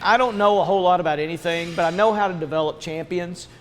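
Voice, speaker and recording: A man's voice: high voice, quick pace, a little hiss or hum. A man who coaches children, talking in plain, conversational coaching language. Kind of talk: coaching and interview talk.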